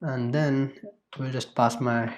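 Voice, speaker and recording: A man's voice: medium pitch, steady tone, slow pace, background noise. A man explaining programming while running code on his screen, in a loose, repetitive way with many fillers and restarts. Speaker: programming tutor. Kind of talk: screen-recorded coding tutorial.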